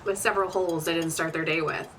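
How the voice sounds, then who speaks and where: A woman's voice: deep voice, steady tone, quick pace, a bit bright. A woman playing a feisty, hot-tempered, sardonic ally in an audio drama, blunt and emphatic in her speech. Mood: angry, sardonic. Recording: distant from the mic, faint noise.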